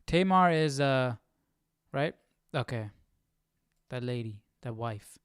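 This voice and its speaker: A man's voice: mid-range voice, lively intonation, takes it slowly, smooth, no echo, clean studio sound. A man hosting a casual live Bible study, reading and puzzling aloud as he repeats phrases.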